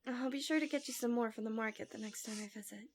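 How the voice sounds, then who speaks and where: A woman voicing a gentle, coaxing, subtly manipulative fantasy villain in an intimate ASMR roleplay, soft and breathy, often near a whisper, close to the mic. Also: on the high side, fast talker, slight background noise, natural voice. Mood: gentle, soothing. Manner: soothing, doting, teasing.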